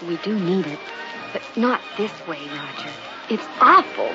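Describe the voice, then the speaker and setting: An actress playing an anxious, protective young newlywed wife, pleading earnestly in old-time radio horror style. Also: female voice, lowish voice, lively intonation, measured pace. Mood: alarmed, pleading. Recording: muffled vintage radio broadcast with music.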